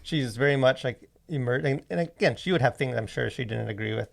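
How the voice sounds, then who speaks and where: A man co-hosting a podcast in casual discussion, talking haltingly in short, piled-up phrases. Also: medium pitch, creaky. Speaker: podcast co-host.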